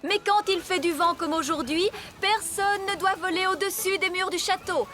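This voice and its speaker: A woman's voice: extremely high pitch, moderate pace, sharp tone, soft background music. A woman playing a prim, bossy nanny fairy in a cartoon, lecturing in a pompous, fussy manner. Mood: stern, lecturing. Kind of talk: French-language cartoon dubbing.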